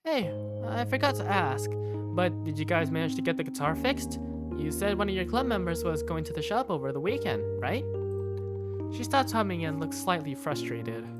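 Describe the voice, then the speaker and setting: Over background music, a woman plays an earnest young male student in a visual novel. The voice sits fairly low and swings expressively through first-person storytelling and dialogue. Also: big pitch swings, normal speed. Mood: casual, content. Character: earnest young male student narrating his everyday life.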